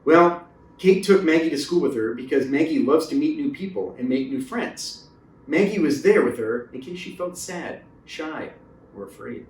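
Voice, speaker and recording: A man's voice: fairly high pitch, expressive, normal speed, a little mellow, close to an everyday voice. A man voicing a kindly, persuasive father, patient and coaxing, in a children's story with a roomy, distant recording. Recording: roomy echo, distant mic.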